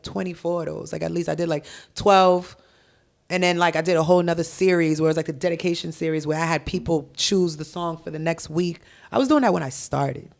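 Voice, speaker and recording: A young adult woman from Haiti, a guest on a podcast, telling stories in an animated, profane way over a headset mic in a dark studio. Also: on the low side, vocal fry. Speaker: guest, hip-hop artist. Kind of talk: casual podcast interview. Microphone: headset with boom mic.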